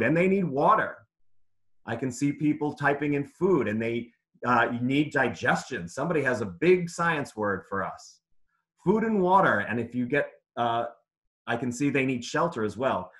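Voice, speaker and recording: A man's voice: mid-range voice, very animated, normal speed. A man reading aloud to children as a teacher would, in simple phrases, putting questions to his listeners. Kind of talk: interactive children's read-aloud lesson.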